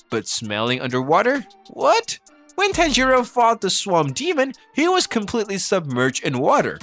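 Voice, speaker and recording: A man's voice: fairly high pitch, sharp tone. A man narrating a scripted video essay, asking rhetorical questions with playful, incredulous emphasis. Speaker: narrator of an anime commentary video. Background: background music.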